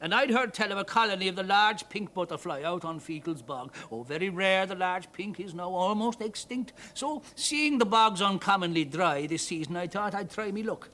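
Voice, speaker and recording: A man's voice: sits high, lively intonation, normal speed, few pauses, pushed and bright. A man voicing a rescued Irish bug-hunting man who talks in a cheerful, rambling lilt. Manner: lilting, rambling.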